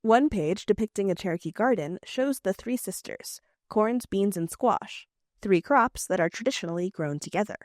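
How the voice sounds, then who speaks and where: A woman radio reporter narrating a scripted news feature in a measured, clear delivery. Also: mid-range voice, animated, darkish tone, dry recording, studio quality.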